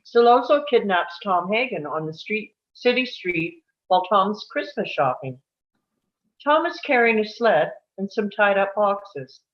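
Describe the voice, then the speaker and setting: A woman's voice: mid-range voice, lively intonation, measured pace. A woman reading scripted stage directions as the narrator of a table read, on dull lo-fi audio.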